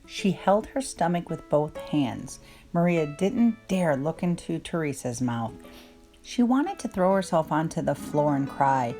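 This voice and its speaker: A woman narrating a children's storybook aloud in an expressive, measured way. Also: deep voice. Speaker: children's book reader. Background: plucked guitar music.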